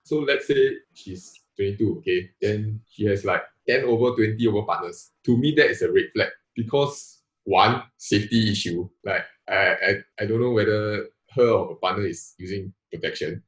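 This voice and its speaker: A man's voice: lowish voice, normal speed, reverberant, poor audio. A Singaporean man in his twenties, earnestly thinking aloud with restarts and local particles as a guest on a casual panel. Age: 20s.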